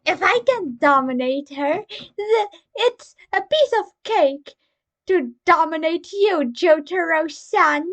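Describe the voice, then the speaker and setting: A woman voicing a smug, dominant young man in a fan story, with a drawn-out, teasing, commanding delivery. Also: very high-pitched, lively intonation, voice pushed up, heavily acted. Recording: dull lo-fi recording.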